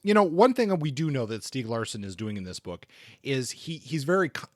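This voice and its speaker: A middle-aged man with a medium-pitched voice, recorded dry with very little room sound. He co-hosts a casual book discussion, feeling his way through his points in a halting, measured manner. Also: big pitch swings, no room sound. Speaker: book podcast co-host.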